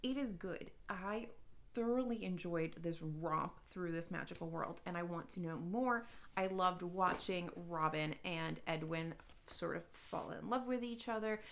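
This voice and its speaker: A woman's voice: lowish voice, measured pace, vocal fry, fairly bright tone, slight background noise. A woman chatting casually to viewers as a book reviewer, informal, gushing and emphatic, with some self-deprecation. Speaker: book reviewer, video host.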